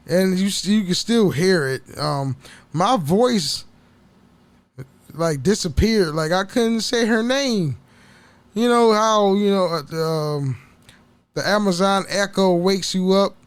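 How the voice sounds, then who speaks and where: A man's voice: sits high, clean tone, no room sound. A man hosting a solo podcast in a casual, rambling chat, stammering over repeated words and swearing freely. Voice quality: voice prone to cracking. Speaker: podcast host.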